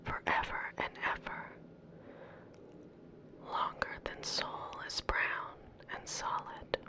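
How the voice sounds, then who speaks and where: A woman whispering softly in an unhurried, gentle ASMR style, as a host reading poetry aloud. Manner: soft, unhurried, gentle delivery with pauses.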